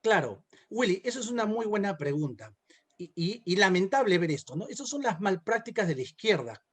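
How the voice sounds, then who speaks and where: Male political pundit, Peruvian Spanish accent, high register, conversational explainer in an interview setting. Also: sing-song, a little fast, husky. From Peru. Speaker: political consultant, interview guest.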